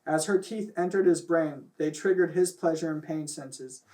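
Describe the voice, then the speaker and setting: A man's voice: on the high side, moderate pace, dark tone. A man reading fan fiction aloud and chatting casually about it, with a loose, sweary delivery. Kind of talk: reading a story aloud, then casual chat.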